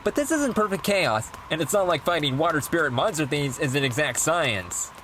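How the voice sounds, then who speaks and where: A man voicing a heroic, caring hedgehog king and father in an animated comic dub, brisk and commanding. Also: fairly high pitch, slight background noise, poor audio. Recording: music behind the voice.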